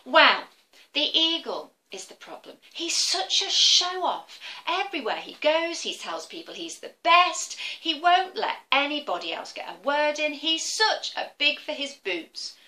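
A woman's voice: sits high, sing-song, a little slow, bright, forceful tone, pitched above the performer's own voice, exaggerated voice. A woman voicing a fed-up flock of birds in a children's story, complaining indignantly.

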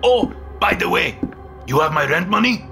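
A man's voice: high voice, expressive, normal speed, vocal fry, pushed and bright, pitched above the performer's own voice, exaggerated voice. A man voicing an eccentric, over-friendly landlord with a foreign accent and broken phrasing, in a told story, with music behind. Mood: chummy, pushy. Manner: jovial, intrusive, broken phrasing. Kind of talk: storytelling character voice.

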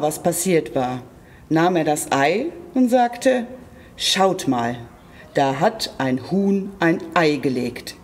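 Children's story reader: mid-pitched, very varied intonation, deliberate and direct to young listeners. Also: a little mellow, a little hiss or hum. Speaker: storyteller reading aloud for a library. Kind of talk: expressive children's story reading.

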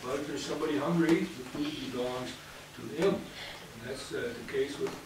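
A man in his fifties, a priest giving a sermon in a halting, hesitant manner with repeated phrases. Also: medium pitch. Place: indoors at a church pulpit or lectern, reverberant. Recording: distant mic in echoey room, clear background noise.

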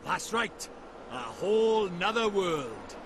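A tiny Welsh fairy sidekick in a video game, his voice shooting up high and swooping wildly from note to note. He talks in a slow, drawn-out, sing-song way. The game audio is noisy, with outdoor ambience underneath. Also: sing-song, exaggerated voice. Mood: proud. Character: small excitable male fairy sidekick, lantern-nosed.

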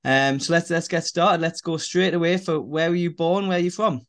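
Male podcast host, slightly high voice, halting questioner on video call. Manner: stumbling restarts, asks questions. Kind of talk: interview podcast. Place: small room indoors.

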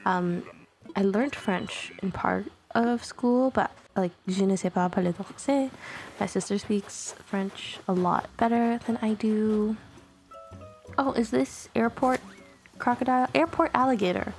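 Chatty woman with a gravelly edge. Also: mid-range voice, unhurried, darkish tone, faint music behind. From Canada. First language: Tagalog. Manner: chatty, rambling, self-conscious laughs. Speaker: gaming YouTuber. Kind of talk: casual gameplay commentary.

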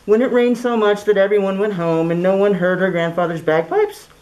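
Animated middle-aged woman reading a storybook. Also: medium pitch, fairly quick, poor audio. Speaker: children's story reader. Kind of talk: read-aloud storybook narration. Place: small indoor classroom. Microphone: camera a few feet away, speaking through cloth mask.

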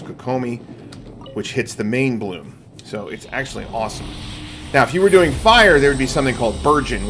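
A man's voice: medium pitch, fairly bright tone, a little hiss or hum. A middle-aged man giving casual, explanatory live commentary to viewers as he plays a video game. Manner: explanatory, talking through play to viewers. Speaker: gaming streamer. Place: indoor room at home. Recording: game music behind the voice. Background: video game music.

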